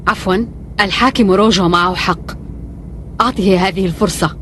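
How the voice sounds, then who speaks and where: A woman's voice: mid-range voice, brisk, fairly bright tone, a little hiss or hum, natural voice. A woman playing a shrewd, deferential military adviser in a cartoon dub, speaking persuasively. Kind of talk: dubbed cartoon acting. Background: faint music.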